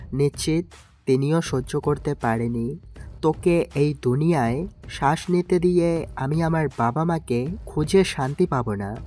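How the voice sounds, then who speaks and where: A man's voice: fairly high pitch, darkish tone, lightly acted. A man playing a cold, mocking young mafia boss in an audio drama, speaking in a commanding way. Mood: mocking, bitter. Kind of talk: Bengali audio story acting.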